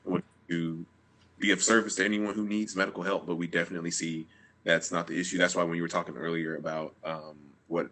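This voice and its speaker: Very deep, bright-toned man. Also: little pitch movement, fairly quick, slight background noise. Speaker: cast member at a table read. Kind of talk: casual discussion.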